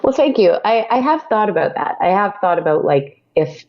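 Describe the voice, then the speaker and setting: Woman podcast guest, lots of ums and restarts, creaky voice, muffled call sound. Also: medium pitch, big pitch swings, brisk. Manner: hesitant, many fillers and restarts. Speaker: podcast guest, audio drama creator. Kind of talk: casual interview chat.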